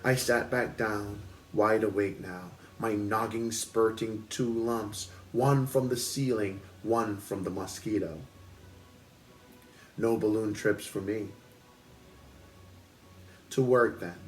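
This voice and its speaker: A man's voice: fairly low pitch, steady tone, slow, long pauses, soft background music. A man hosting a book club, reading aloud expressively at home in a storytelling style.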